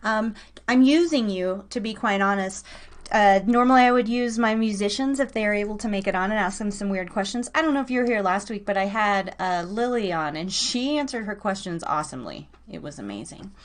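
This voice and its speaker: A woman's voice: mid-range voice, lively intonation, fairly quick. A woman hosting a live online radio show, chatting casually and playfully with listeners and a guest. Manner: chatty, playful, informal teasing.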